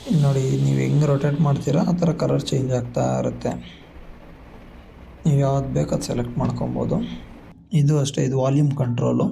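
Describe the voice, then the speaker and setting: A man's voice: mid-range voice, fairly even intonation, fast, creaky, long pauses, dark tone, slight background noise. A man with a Kannada accent giving a casual step-by-step product walkthrough as a vlogger, explaining and mixing in English tech words. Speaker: vlogger demonstrating a gadget.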